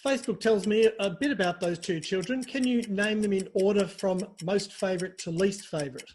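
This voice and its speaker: A man with a notably high voice hosts a rapid comic interview. He teases his guest in a playful, mock-grand manner. Faint background noise sits under the recording. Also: darkish tone. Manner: teasing, mock-formal and playful. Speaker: interview host. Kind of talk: scripted quick-fire comic interview.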